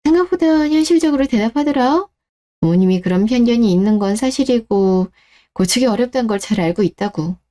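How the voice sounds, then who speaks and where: A woman's voice: fairly high pitch, expressive, brisk, mellow, lightly acted. A woman playing a level-headed young bride-to-be in a scripted story-radio drama, composed, direct and matter-of-fact. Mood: earnest, firm.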